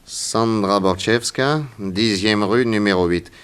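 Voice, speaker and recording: An actor playing a composed, methodical police detective in a 1960s French radio serial, with a measured, probing manner. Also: male voice, on the low side, fairly bright tone, smooth. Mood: businesslike, pensive.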